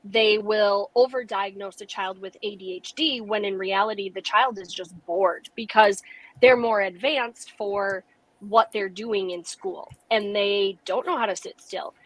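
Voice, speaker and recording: A woman's voice: mid-range voice, steady tone, measured pace, no echo, rough recording. A woman panel guest giving a frank, opinionated take in casual podcast talk. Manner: frank, opinionated, trails off softly.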